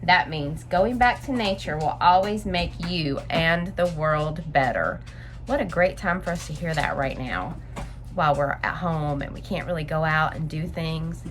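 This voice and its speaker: A woman hosting story time, reading aloud and explaining things simply to young listeners in an expressive, instructive way. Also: deep voice, a little slow, soft background music.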